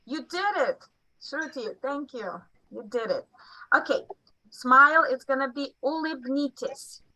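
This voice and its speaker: A woman's voice: high-pitched, expressive, bright, forceful tone, no room sound, low-quality recording. A woman whose first language is Russian, a physician, patiently coaching colleagues through phrases on a video call. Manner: slow, patient coaching with laughs. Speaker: physician teaching stroke exam phrases. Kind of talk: online teaching session. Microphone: computer mic on a video call.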